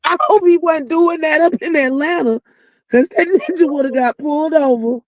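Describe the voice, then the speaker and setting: A woman's voice: high voice, normal speed, no room sound. A woman chatting casually as a co-commentator, slangy and mocking in her reactions.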